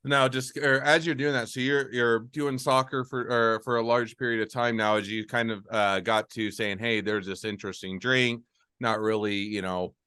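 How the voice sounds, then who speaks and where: Podcast host, male, resonant low voice, dead-dry mic; loose wordy questions. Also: flat delivery, normal speed, sharp tone, clear, resonant tone, dry recording. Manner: rambling, restarts questions often. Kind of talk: casual interview.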